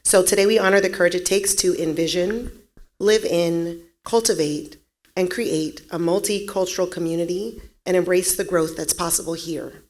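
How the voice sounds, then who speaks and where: A woman moderating a community panel, reading earnest, measured opening remarks. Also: lowish voice, fairly even intonation, a little mellow.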